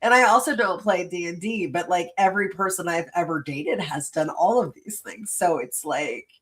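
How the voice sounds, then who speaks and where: A woman in her forties hosting a late-night talk show, swearing freely, laughing often and acting out quotes in animated chat. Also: on the low side, normal speed. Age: 40s. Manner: animated storyteller, acts out quotes. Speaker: host. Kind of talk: casual chat.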